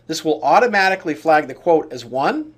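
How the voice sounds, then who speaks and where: A man narrating an on-screen software demonstration, explaining each step in turn. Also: fairly high pitch, very animated, brisk, slightly rough. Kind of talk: walkthrough tutorial narration of on-screen steps.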